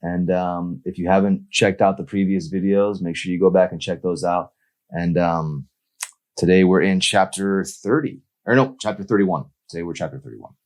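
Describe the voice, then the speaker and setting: A man reading his own novel aloud as an author, in an expressive audiobook-style narration. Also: deep voice, moderate pace.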